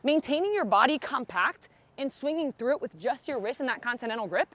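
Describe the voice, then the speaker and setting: A woman's voice: high voice, lively intonation, brisk, fairly bright tone, no echo. A woman with a Brazilian Portuguese accent, coaching pickleball on a court and giving direct, encouraging instructions.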